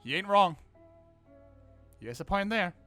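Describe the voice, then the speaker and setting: A man streaming a visual novel, reading it aloud and reacting with animated, laughing, swearing commentary. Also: high-pitched, a little slow, faint music behind. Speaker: game streamer.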